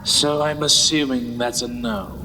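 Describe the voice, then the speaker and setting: An actor playing a gloating, scheming villain who serves a dark master, with smug, mocking menace, in a fantasy audio drama. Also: male voice, mid-range voice, steady tone, measured pace. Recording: long reverb, loud background noise.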